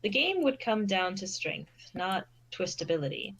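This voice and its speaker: A woman's voice: mid-range voice, sing-song, normal speed, a bit bright, breathy edge, low-quality recording. A woman reading a story aloud as narrator, now and then stumbling and repeating a phrase. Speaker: fan fiction reader and narrator.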